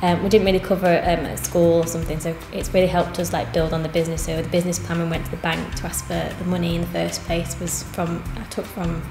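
A woman's voice: lowish voice, little pitch movement, fast talker, hardly any pauses, reverberant, music in the background. A woman in her twenties, a bakery co-owner, answering interview questions in an earnest, plain way with many ums. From England. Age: 20s. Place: cafe interior, seated on sofa.

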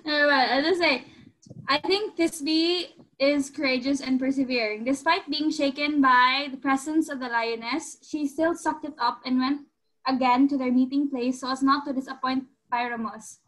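Blunt high-voiced teenage girl student. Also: fairly even intonation, normal speed, fairly bright tone, poor audio. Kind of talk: answering in class. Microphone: video call.

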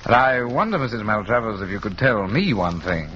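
An actor playing a detective's gentlemanly, earnest, plain-spoken companion in 1940s radio drama style. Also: male voice, fairly low pitch, sing-song, fairly quick. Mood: earnest, courteous. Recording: muffled, noisy 1940s radio recording.

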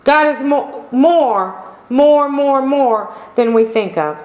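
A middle-aged woman pastor delivering a sermon in slow, emphatic preaching style, calling out for amens. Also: high-pitched, darkish tone. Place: church sanctuary. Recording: echoey room.

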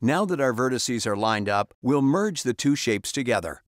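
A man reading a scripted step-by-step software tutorial as a voice-over, calm and instructional. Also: mid-range voice, moderate pace, no echo, clean studio sound.

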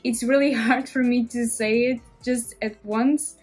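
A woman's voice: a little high, fairly even intonation, a little slow, darkish tone, husky, faint music behind. A young woman in her twenties from Portugal, speaking English with a Portuguese accent as she chats through a makeup tutorial in her bedroom. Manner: friendly, chatty, explaining step by step. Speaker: beauty vlogger. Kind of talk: makeup tutorial talk to camera. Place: small bedroom indoors.

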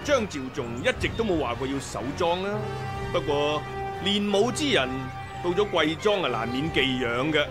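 Man as cunning imperial villain, Hong Kong Cantonese radio drama, smug, high voice, loud music behind. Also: expressive, moderate pace, lightly acted. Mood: smoothly menacing.

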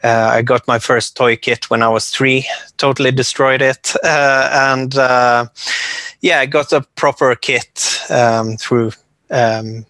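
Norwegian man in his forties, a composer guest; bright tone, hesitant delivery with frequent fillers, dry close sound. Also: medium pitch, fairly even intonation, takes it slowly, dry recording. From Norway. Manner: hesitant, many fillers and restarts. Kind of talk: casual interview talk.

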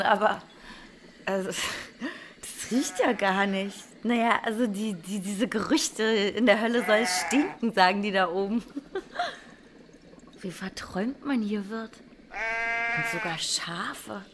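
A woman playing a dreamy, smitten angel in a German radio play, talking haltingly. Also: medium pitch, background noise. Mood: dreamy wonder.

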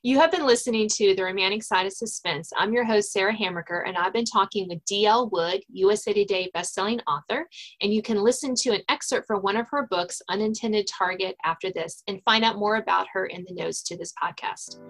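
A woman's voice: medium pitch, brisk, a bit bright, low-quality recording. A woman hosting a podcast in a conversational interview style. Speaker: podcast host and author.

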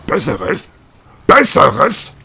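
A man playing an indignant, grumbling older villager with a raspy, querulous voice in an amateur audio drama. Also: on the high side, huge pitch swings, very slow, sharp tone, slight background noise, exaggerated voice. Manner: querulous, blustering. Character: indignant, grumbling older male villager, fussy about gnomes.

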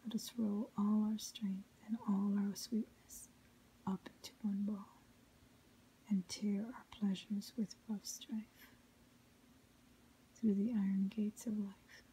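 Slow whispering woman reading poetry. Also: medium pitch, little pitch movement, slight background noise, poor audio. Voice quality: soft unvoiced whisper, breathy. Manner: measured, melodic recitation. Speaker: ASMR poetry reader.

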